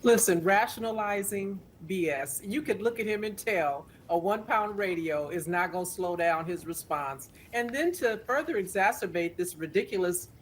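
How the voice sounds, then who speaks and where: A woman's voice: mid-range voice, expressive, normal speed, hardly any pauses, slight background noise. A retired police sergeant, a woman, speaking bluntly and indignantly as a guest in a news interview.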